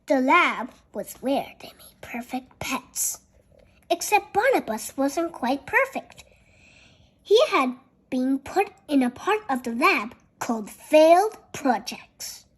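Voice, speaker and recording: A storyteller reading a picture book aloud in a careful, halting way, with childlike, chatty asides about the pictures. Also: high-pitched, very animated, fairly bright tone.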